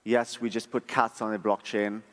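A man from Trinidad gives a prepared conference talk in a measured, conversational, explanatory way. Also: on the low side, flat delivery. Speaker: conference speaker, front-end engineer. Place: large conference room. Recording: echoey hall, long reverb.

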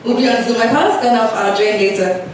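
A woman with a Nigerian accent, a developer, giving a conference presentation with a slow, emphatic delivery. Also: fairly high pitch, noisy background. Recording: echoey hall, speaker far from mic.

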